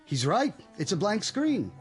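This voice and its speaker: Animated, wry engineer family friend. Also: male voice, high-pitched, unhurried, soft background music, exaggerated voice. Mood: concerned, reasoning. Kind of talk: radio drama acting.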